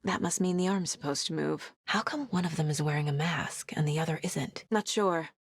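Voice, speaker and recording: A woman playing a determined, sharp investigator in a horror video game, measured and introspective, often as if talking to herself. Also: sits low, breathy edge, dry recording, clean studio sound. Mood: focused, curious. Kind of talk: cinematic video game acting, naturalistic.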